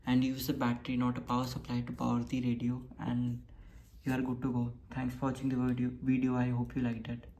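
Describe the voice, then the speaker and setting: A woman's voice: a bass voice, fairly quick. A woman giving an informal technical demonstration, speaking in short, plain statements that she often repeats. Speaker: hobbyist radio builder presenting. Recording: noisy home recording with steady hiss.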